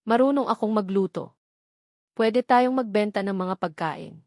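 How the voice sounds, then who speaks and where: A woman's voice: medium pitch, unhurried, a little mellow, dry recording, clean studio sound, lightly acted. A woman voicing a caring young mother who speaks with concern and encouragement to her partner in a narrated love story. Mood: encouraging.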